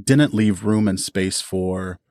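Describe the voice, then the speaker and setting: Lowish-voiced man explaining, dry sound. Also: takes it slowly, a little mellow, no room sound. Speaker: interview guest, audio drama creator. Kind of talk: casual, reflective interview answers.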